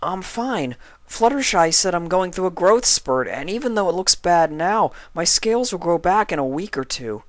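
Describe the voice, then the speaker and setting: A man voicing an eager, cheerful young male baby dragon in a storybook reading, with an excitable manner. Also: high-pitched, normal speed, few pauses, no echo, voice pushed up. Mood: excited. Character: eager eighteen-year-old baby dragon, helpful and cheerful.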